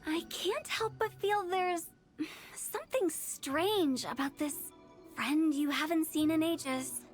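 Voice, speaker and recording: A woman voicing a suspicious, theatrical girl in a video game, with deliberate, dramatic wording. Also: extremely high pitch, faint music behind.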